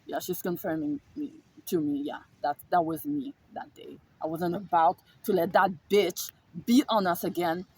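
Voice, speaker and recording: A woman's voice: lowish voice, fairly even intonation, moderate pace, slight background noise, low-quality recording. A woman vlogger telling a personal story in a casual monologue, emphatic and repetitive, swearing freely. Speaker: spiritual vlogger.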